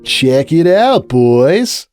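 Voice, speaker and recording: A man's voice: fairly high pitch, very animated, very slow, a little mellow, soft background music, pitched above the performer's own voice, exaggerated voice. A man voicing a serious young stallion who captains a sports team and lectures firmly. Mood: cheerful.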